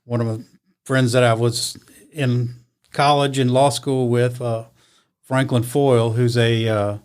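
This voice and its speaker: A middle-aged man, a lawyer, answering interview questions in a relaxed, understated way with short, dry replies. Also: medium pitch, unhurried, smooth. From born in Italy, raised in the US. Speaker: guest, criminal defense lawyer.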